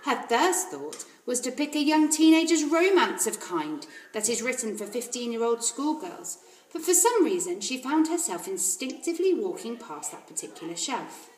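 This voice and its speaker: A woman reading a children's story aloud like a teacher, in an expressive narrating style. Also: fairly high pitch, normal speed, echoey room, poor audio.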